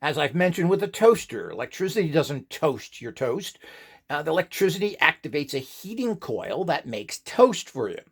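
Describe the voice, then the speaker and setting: A man giving an informal, rambling lecture as an instructor, opinionated and emphatic, with a gravelly voice. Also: fairly high pitch, very animated, moderate pace. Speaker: instructor in radionics.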